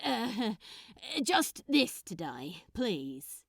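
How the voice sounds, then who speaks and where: A woman's voice: medium pitch, big pitch swings, vocal fry, no echo, studio quality, heavily acted. A woman voicing an awkward, lovestruck, self-deprecating man with a hesitant manner in a story reading. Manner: hesitant, halting.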